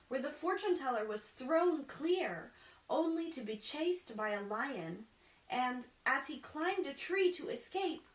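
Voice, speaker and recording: A woman reading a children's storybook aloud in an expressive, deliberate, theatrical way. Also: high voice. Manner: animated, deliberate, acts out dialogue. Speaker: costumed storytime reader. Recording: echoey room, distant mic, faint noise.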